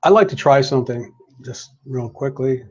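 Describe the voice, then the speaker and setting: Husky-voiced man asking playful questions. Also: mid-range voice, expressive, moderate pace, a little mellow. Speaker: player. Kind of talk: casual chat. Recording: dull lo-fi sound.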